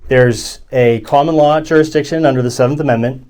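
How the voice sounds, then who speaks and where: A man with a loud, excitable voice arguing legal points with officers in a court lobby, recorded on a handheld phone. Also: medium pitch, fairly quick. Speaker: activist recording his arrest. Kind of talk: casual argument with officers. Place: federal court lobby, indoors.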